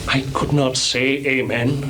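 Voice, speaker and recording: A male stage actor playing a tormented, guilt-ridden, ambitious murderer in a measured, weighty Shakespearean delivery with long pauses, recorded live in a theatre. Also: mid-range voice, little pitch movement, background noise, lightly acted. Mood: shaken, haunted.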